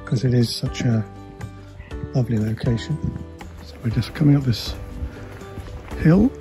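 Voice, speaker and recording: A man with a relaxed, chatty manner, narrating a tour of a campsite as he walks, recorded outdoors on a handheld camera. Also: mid-range voice, a little slow, music in the background. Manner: relaxed, chatty, guiding with frequent fillers. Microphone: handheld camera, filming while walking.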